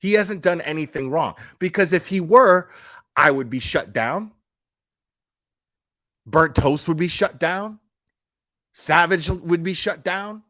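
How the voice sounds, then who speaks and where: Blunt, higher-voiced man commenting casually. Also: takes it slowly, pauses often, sharp tone. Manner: blunt, foul-mouthed, emphatic. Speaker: YouTube commentary streamer. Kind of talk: casual opinionated live commentary.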